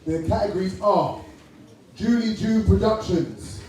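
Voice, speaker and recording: A man with a Jamaican accent hosting an awards night, chatty and brisk, joking with the crowd. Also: high-pitched, a little mellow. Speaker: awards host. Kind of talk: live event compering. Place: large hall at a live event. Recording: echoey hall, taken from far off. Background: noisy crowd.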